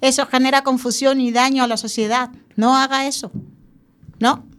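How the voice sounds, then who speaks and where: Lively woman co-hosting a radio chat. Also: high-pitched, normal speed, clear, resonant tone. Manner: lively, mock-stern lecturing tone. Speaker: radio show co-host. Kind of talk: casual studio chat.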